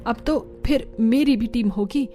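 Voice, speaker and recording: Haughty, mellow-voiced mother-in-law acted by a woman. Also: a little high, a little slow, natural voice. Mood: gloating, doting. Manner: scornful, bossy. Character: haughty, spiteful middle-aged mother-in-law who plays favorites. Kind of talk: Hindi animated family drama dubbing.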